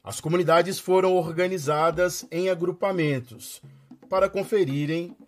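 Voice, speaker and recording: A man's voice: high-pitched, fairly even intonation, moderate pace. A Brazilian man presenting a news programme in scripted narration.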